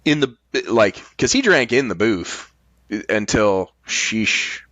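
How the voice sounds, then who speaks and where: A man's voice: fairly low pitch, lively intonation, takes it slowly, a bit bright, dry recording, lo-fi sound. A man chatting casually as a podcast host, blunt and emphatic, swearing casually. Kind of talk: casual chat.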